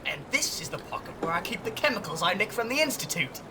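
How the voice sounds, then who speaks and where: A man's voice: sits high, sing-song, fast talker, music in the background. A man playing a reckless, gleeful young amateur chemist and petty thief in an audio drama. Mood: proud.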